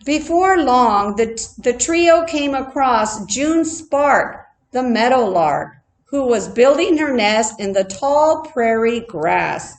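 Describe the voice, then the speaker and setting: A woman reading a children's story aloud expressively, like a teacher, deliberate and adding playful asides for her listeners. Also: on the high side, expressive, poor audio.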